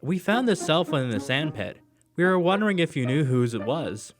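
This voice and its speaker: An actor playing an earnest, trusting young detective's sidekick in an audio drama, hesitant and questioning. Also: male voice, fairly high pitch, big pitch swings, normal speed, soft background music. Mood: curious, earnest. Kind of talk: scripted audio drama acting.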